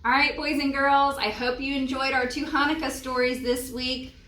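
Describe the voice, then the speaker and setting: A woman's voice: high-pitched, level tone, normal speed, fairly bright tone. A woman presenting a library storytime, reading and explaining crafts expressively for young children. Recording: echoey room, distant microphone.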